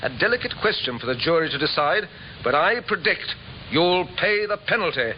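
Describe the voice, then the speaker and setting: A courteous, authoritative inspector played by a man speaks in measured, firm phrases with a fairly high pitch. The 1950s radio drama recording is dull and faintly noisy. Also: expressive, close to an everyday voice. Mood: stern, accusing.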